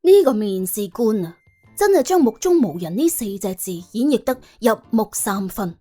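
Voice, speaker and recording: A woman with a Cantonese accent narrating a comic audiobook in a colloquial, wry and animated way. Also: medium pitch, moderate pace, faint music behind.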